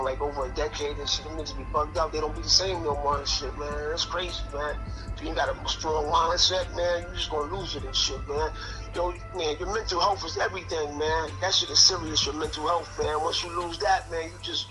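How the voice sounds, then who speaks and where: A man's voice: fairly high pitch, poor audio. A man in his late fifties telling prison stories in a casual, streetwise way, ending almost every phrase with "man" or "son". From Connecticut. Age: 50s. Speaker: interview guest.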